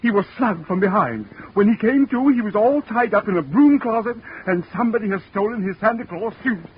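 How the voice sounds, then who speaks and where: An actor playing an anxious department store manager who moans and repeats himself, in 1940s radio drama style. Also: male voice, high voice, expressive, brisk. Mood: fretful, distressed. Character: fretful, nail-biting male department store manager. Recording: dull, faintly noisy 1940s radio recording.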